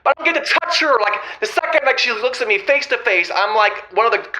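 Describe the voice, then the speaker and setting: A man playing a flustered, exasperated groom-to-be phoning an advice show, halting and stammering, on slightly dull phone-in sound. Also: extremely high pitch, lively intonation, noisy background. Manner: halting, stammering, quotes dialogue animatedly. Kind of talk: improvised comedic phone-in.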